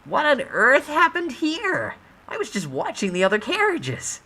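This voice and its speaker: A woman's voice: high voice, huge pitch swings, a little slow, pushed and bright, exaggerated voice. A woman voicing a mocking, showy little goblin-like spirit in a dramatic storytime reading, teasing and exclaiming. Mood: gleeful surprise.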